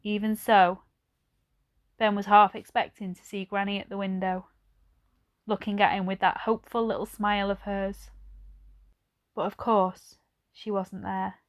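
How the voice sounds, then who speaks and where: A woman reading a children's story aloud like a teacher, with measured, expressive phrasing. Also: medium pitch.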